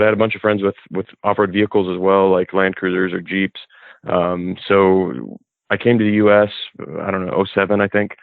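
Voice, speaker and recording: A young man with a Midwestern American accent, talking in a relaxed, easygoing way with lots of ums as a podcast guest, originally from Kuwait. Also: low voice, gravelly, no echo. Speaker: off-road club organizer, guest. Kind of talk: casual interview chat. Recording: thin phone-like remote line.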